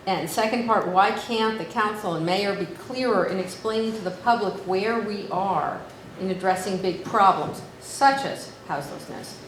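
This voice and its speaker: A woman's voice: medium pitch, moderate pace, slight background noise. Woman reading a question, echoey room. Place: community meeting room.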